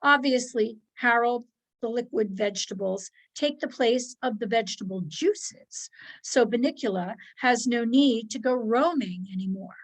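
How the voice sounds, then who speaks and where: A woman reading a children's story aloud as its narrator, expressively and with measured, dramatic pauses. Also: on the high side, rough recording. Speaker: storybook reader, narrating as a dog.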